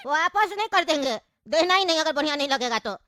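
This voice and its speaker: A woman voicing a sly, scheming male street food seller who hawks loudly and turns menacing. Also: sits high, animated, fast. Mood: hawking. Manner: loud hawking, menacing when crossed. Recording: noisy recording with clicks.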